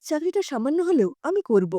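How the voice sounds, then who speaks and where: A woman's voice: sits high, sing-song, brisk, dark tone, no echo. A woman voicing an earnest, outspoken young daughter-in-law with an insistent manner in an audio story. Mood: firm, challenging. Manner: insistent, questioning.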